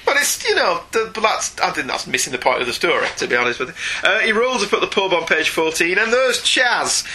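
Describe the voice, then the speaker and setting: A man's voice: sits high, very animated, a little fast, bright, forceful tone. A British man co-hosting a comics podcast, reviewing in a conversational, opinionated and wry way. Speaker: podcast co-host.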